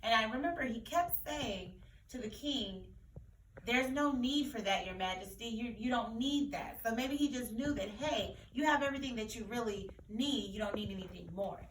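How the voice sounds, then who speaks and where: Female teacher, higher voice, explaining and repeating key points for kids; distant mic, echoey room. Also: expressive, normal speed, a bit bright. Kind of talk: read-aloud lesson discussion for children. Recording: roomy echo, distant mic, faint noise.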